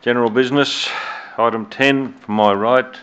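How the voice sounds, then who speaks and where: A man with an Australian accent chairing a council meeting as mayor, measured and hesitant with many fillers, recorded on dull lo-fi room audio. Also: mid-range voice, fairly even intonation, fairly bright tone, slight background noise. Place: council chamber.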